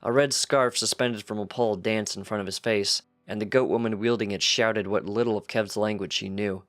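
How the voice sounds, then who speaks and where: A man's voice: lowish voice, fairly even intonation, normal speed, a bit bright, dry recording, faint music behind. A man reading a piece of fiction aloud as scripted narration.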